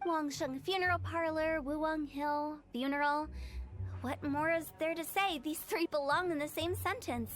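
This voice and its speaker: A woman voicing a mischievous young female funeral director who makes cheerful, macabre sales pitches in a game. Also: fairly even intonation, moderate pace, a bit bright, faint music behind. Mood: teasing. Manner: teasing, salesy, darkly playful. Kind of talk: video game voice acting.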